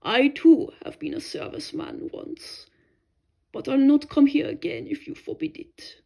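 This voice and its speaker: Storybook part: gentle elderly German-accented monk, slow and polite, read by a woman with a high voice. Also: animated, vocal fry, exaggerated voice. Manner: quiet, slow, courteous.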